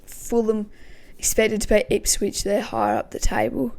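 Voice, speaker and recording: Croaky woman talking football. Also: medium pitch, flat delivery, normal speed, a little mellow. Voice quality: gravelly. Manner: thinking aloud, hesitant with many ums. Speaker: football podcast host. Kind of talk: casual pre-match football talk.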